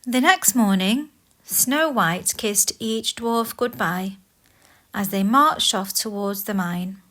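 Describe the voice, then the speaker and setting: A woman narrating a scripted children's fairy tale in an expressive, deliberate way. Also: mid-range voice. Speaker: storyteller.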